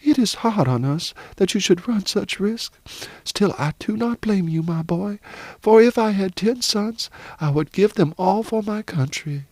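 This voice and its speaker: A man's voice: high-pitched, lively intonation, measured pace, darkish tone, no room sound, pitched above the performer's own voice, full character voice. A man voicing a genteel, anxious and proud middle-aged mother in an audiobook novel.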